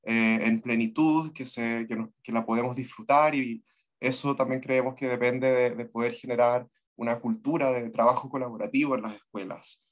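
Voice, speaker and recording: A man with a Chilean Spanish accent giving an online presentation to students, with somewhat hesitant, halting phrasing. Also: medium pitch, fairly even intonation. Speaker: teacher trainer giving an online talk. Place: remote online video call from Santiago.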